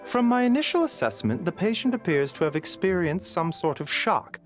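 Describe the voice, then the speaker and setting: Game role: polite male physician, measured and gentle, very varied intonation, light background music. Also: high voice, big pitch swings. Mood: courteous, calm. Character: gentle, unhurried, courteous male doctor. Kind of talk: scripted video game dialogue.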